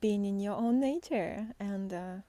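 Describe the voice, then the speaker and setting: A woman giving an intimate, spontaneous spiritual talk as a guide, halting and tender, sometimes softening toward a whisper. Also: medium pitch, dry recording. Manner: tender, halting, with long pauses, sighs and soft laughs. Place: outdoors in nature, walking by foggy fields.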